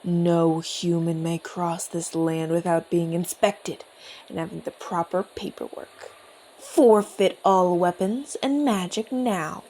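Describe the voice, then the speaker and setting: A woman's voice: fairly low pitch, darkish tone, slight background noise, low-quality recording. A woman playing an officious, easily flustered orc border guard in a fantasy audio roleplay, formal and earnest in delivery. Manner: formal, earnest, over-dramatic.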